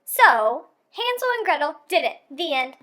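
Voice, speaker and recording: A woman voicing an eager, excitable little girl chicken in a children's picture book read-aloud. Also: high voice, unhurried, pitched above the performer's own voice, exaggerated voice. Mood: excited, urgent.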